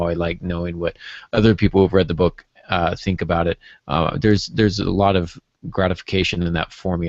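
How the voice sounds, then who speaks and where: A man's voice: sits low, darkish tone, no echo, muffled recording. A man hosting a casual podcast discussion, with a wry, playful way of talking. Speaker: podcast host.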